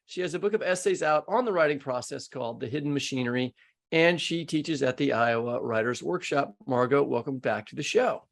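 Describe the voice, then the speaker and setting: A man's voice: medium pitch, a little fast, creaky. An American man co-hosting a podcast, moving between scripted introductions and informal, joking conversation. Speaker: podcast co-host.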